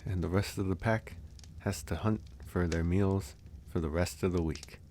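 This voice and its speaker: A man playing a calm, candid, easygoing werewolf in an intimate ASMR audio roleplay, musing unhurriedly with sighs and pauses. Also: low voice, a little hiss or hum. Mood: musing, storytelling.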